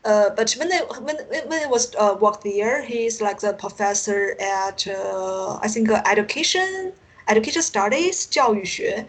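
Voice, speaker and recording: A woman from China with a Chinese accent, a native Mandarin speaker, answering interview questions as an academic guest, haltingly searching for words. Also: mid-range voice. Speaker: interview guest, university teacher and researcher. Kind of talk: unscripted interview conversation.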